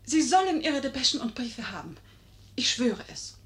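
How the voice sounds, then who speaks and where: Elegant pleading lady, high-voiced. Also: very animated, normal speed. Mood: impassioned pleading. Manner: pleading, theatrical. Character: elegant, cunning mysterious lady, feigning helpless fear. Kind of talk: 1950s German radio play acting.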